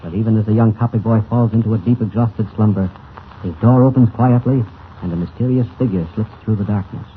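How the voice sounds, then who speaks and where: A man's voice: fairly low pitch, brisk, narrow, tinny audio, close to an everyday voice. A man narrating a superhero serial in dramatic, declamatory 1940s radio style. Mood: suspenseful. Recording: thin, noisy old radio transcription.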